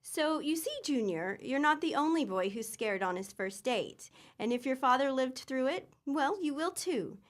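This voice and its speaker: A sweet, patient young woman who speaks in a very high voice. She hesitates and trails off gently. The delivery suits vintage radio comedy. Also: expressive, normal speed, hardly any pauses. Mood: gentle. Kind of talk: old-time radio comedy acting.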